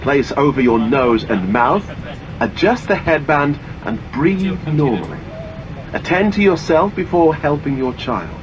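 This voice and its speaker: A man's voice: fairly high pitch, big pitch swings, a little slow. A man reading scripted airline cabin announcements in a formal, instructional manner. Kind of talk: scripted cabin safety announcement, read aloud. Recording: muffled lo-fi audio with engine-like cabin noise.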